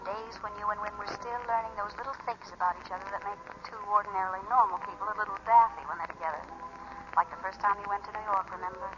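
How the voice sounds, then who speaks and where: An actress playing a reflective inner voice that recalls happier days, narrating in 1940s radio drama style. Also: female voice, mid-range voice, fairly even intonation, racing, natural voice. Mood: nostalgic, knowing. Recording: muffled old radio broadcast. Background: music.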